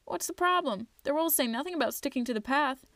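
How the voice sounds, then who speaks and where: A woman's voice: high voice, lively intonation, fast, fairly bright tone, no echo, pitched above the performer's own voice. Woman doing a sly coaxing inner voice. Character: sly tempting little voice in a head.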